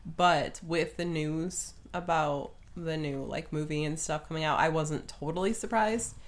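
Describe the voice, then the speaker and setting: A woman's voice: deep voice, a little slow. A middle-aged woman co-hosting a podcast in casual conversation. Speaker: podcast co-host. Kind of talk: casual chat.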